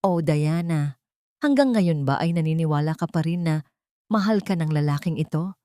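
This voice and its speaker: A woman's voice: low-pitched, animated, normal speed, warm tone, clean tone, dry recording. A woman voicing a cold, fearless, commanding wife out for revenge in an audio story. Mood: bitter mockery. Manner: cold, authoritative.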